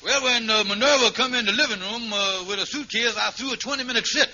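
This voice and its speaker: High-pitched blustering schemer, vintage radio. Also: male voice, quick pace, bright, forceful tone, full character voice. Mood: scheming. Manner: stammering, mangles big words. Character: henpecked middle-aged schemer, blustering and wheedling. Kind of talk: 1940s-50s radio sitcom acting. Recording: muffled, very noisy vintage radio recording.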